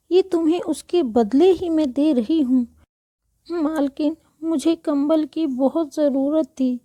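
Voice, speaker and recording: A woman playing gentle, earnest village women in a children's moral story cartoon. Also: high-pitched, no echo. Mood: pleading. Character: humble, kindly village women, maid and mistress.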